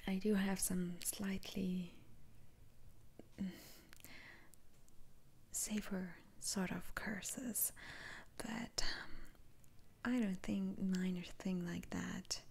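Woman whispering as a very slow-spoken witch doctor. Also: mid-range voice, fairly even intonation, darkish tone, slight background noise. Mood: stern, cautioning. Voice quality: breathy unvoiced whisper. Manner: slow, halting, deliberate. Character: stern, principled female witch doctor removing curses. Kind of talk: whispered ASMR roleplay.

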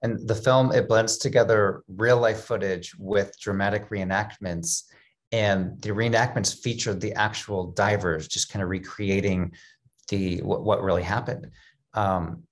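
A man in his thirties hosts an interview over a webcam. His voice sits a little low, and he phrases his questions conversationally, with a few pauses and restarts. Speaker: interview host. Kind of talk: video-call interview. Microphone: video call webcam.

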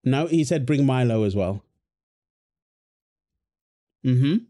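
A man's voice: mid-range voice, lively intonation, takes it slowly, dark tone. A man performing a wholesome audio roleplay as a husband and dad, teasing his partner and cooing fondly at their baby with a playful manner.

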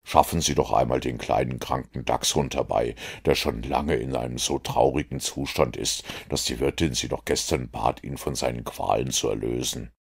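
A man voicing a keen, self-assured detective who explains his conclusions in a measured, commanding way. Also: extremely low pitch, steady tone, creaky, slightly rough, voice pushed down, heavily acted. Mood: patient.

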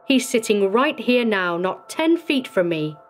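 A woman's voice: mid-range voice, very animated, measured pace, faint music behind. A woman voicing a hearty, self-assured male prison warden in a dramatic story reading. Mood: dismissive.

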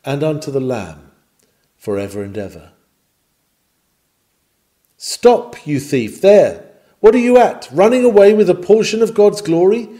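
A man's voice: on the high side, mellow. A man reading out an old-fashioned scripted sermon in an oratorical, emphatic, dramatic manner. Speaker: narrator reading a historical sermon.

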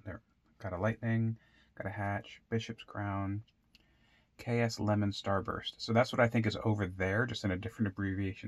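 A man giving a casual, unscripted tour as a home gardener, rambling and thinking aloud as he goes. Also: on the low side. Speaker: home gardener showing his grow room. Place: indoor basement grow room.

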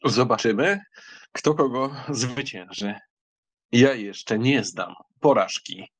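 A man acting an arrogant, impetuous German count in an audiobook drama, with a bold, theatrical manner and a husky, gravelly voice. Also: medium pitch, slow, no echo. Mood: cool, insolent.

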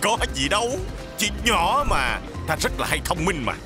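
Boastful cartoon villain: pompous, high and swooping, music underneath. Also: male voice, sing-song, moderate pace. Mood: smug. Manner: pompous, exaggerated. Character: boastful villain in a children's cartoon, vain and theatrical. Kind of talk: animated cartoon dubbing.

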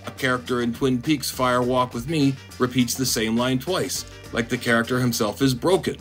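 A man narrating a scripted video essay in a dry, monotone, deadpan way. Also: medium pitch, moderate pace, soft background music. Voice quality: monotone delivery. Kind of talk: scripted commentary.

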